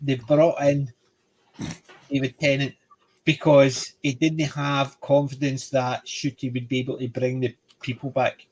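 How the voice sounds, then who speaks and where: A man's voice: mid-range voice, flat delivery, measured pace, a little mellow, rough recording. A Scottish man hosting a casual panel chat, chatty and opinionated, reading facts aloud. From Scotland.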